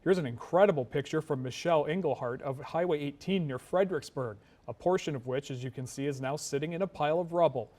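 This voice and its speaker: A man's voice: fairly high pitch, fast talker, hardly any pauses, darkish tone. An American man anchoring a local TV newscast in a scripted broadcast style. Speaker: news anchor. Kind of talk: scripted broadcast news delivery.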